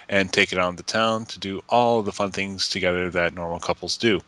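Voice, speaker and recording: A man reads a letter aloud with earnest emphasis. His voice sits very low, yet it is bright and forceful. The sound is dry and close. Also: dry recording, poor audio. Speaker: reader of a letter to friends.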